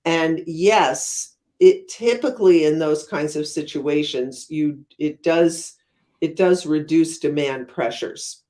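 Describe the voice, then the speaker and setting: A woman's voice: low voice, slow pace, mellow. Woman, expert interview guest. Conversational explainer, thoughtful stop-and-start delivery, emphatic on key terms. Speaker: guest academic, economist and author.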